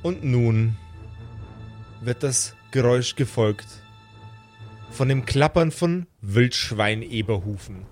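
A man's voice: mid-range voice, slow, long pauses, a little mellow, soft background music. A man acting as game master, improvising the narration of a fantasy tabletop audio game.

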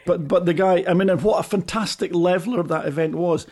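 A British man co-hosting a rally podcast, talking in an animated, emphatic way with frequent restarts. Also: high-pitched, fairly quick. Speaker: podcast co-host, rally pundit. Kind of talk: casual podcast chat.

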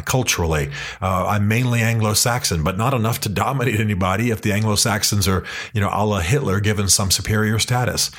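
A man hosting a podcast from his office, talking earnestly and with passion, slowing down to stress his key points. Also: lowish voice, fairly bright tone, breathy edge. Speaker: podcast host.

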